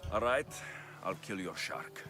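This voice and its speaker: Young male sellsword hero for an ancient Greek game; dry confidence, animated intonation, clear musical underscore. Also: lowish voice, measured pace, over music. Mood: wry, confident. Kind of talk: video game dialogue acting.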